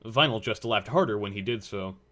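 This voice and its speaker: A man's voice: on the low side, fairly quick, fairly bright tone. A man reading scripted story narration as the narrator of a fan fiction audio drama.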